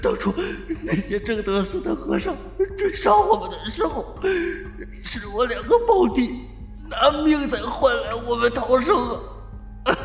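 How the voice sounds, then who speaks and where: A man's voice: extremely high pitch, sing-song, unhurried, creaky, long pauses, heavily acted. An actor playing a cowardly disciple pleading and wailing for his life in an audio drama. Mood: terrified pleading. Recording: echoey reverberant cave effect, music behind.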